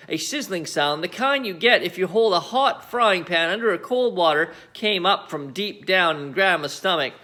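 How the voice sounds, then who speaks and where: A man reading a children's story aloud as storyteller, with dramatic, emphatic phrasing and deliberate pauses. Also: sits high, pushed and bright. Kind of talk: animated children's story narration.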